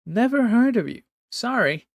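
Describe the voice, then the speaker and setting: A man's voice: extremely high pitch, very animated, moderate pace, creaky, no room sound, clean studio sound, voice pushed up, heavily acted. A man voicing a brash, cocky young male villain who talks in a mocking, flippant way.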